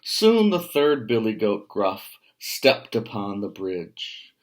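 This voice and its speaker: An American man in his fifties reading a children's picture book aloud, with measured, casual explanatory asides. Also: lowish voice, big pitch swings. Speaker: storyteller, writer. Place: small room at home.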